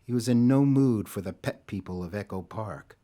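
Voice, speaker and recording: A man narrating a scripted audio drama as a measured, literary storyteller. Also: lowish voice, warm tone.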